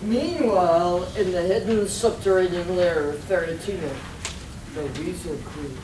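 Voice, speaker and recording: A woman's voice: fairly low pitch, a little slow, natural voice. A woman narrating a mock-heroic comic adventure in a live radio play. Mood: mock-dramatic. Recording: roomy, distant, noisy live stage recording.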